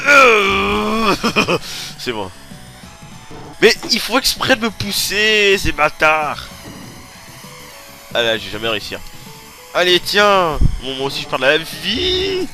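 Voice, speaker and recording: Stuffy-nosed guy yelping and cursing through a racing game, loud game music behind. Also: sits high. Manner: excitable, swearing, yelps and laughs while playing. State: stuffy from a cold. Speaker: let's play host. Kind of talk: casual gameplay commentary.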